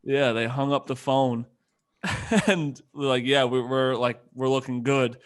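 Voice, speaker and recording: A man with an average-pitched voice chats as a podcast co-host. He tells stories in loose, animated sports banter and swears freely. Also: unhurried.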